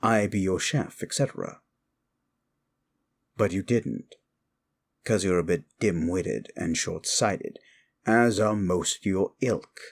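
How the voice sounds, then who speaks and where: Man playing a low, slow, sly demon. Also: vocal fry, full character voice. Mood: teasing, smug. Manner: slow, teasing, condescending. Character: sly, evil male demon, a taunting manipulator. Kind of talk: intimate ASMR audio roleplay.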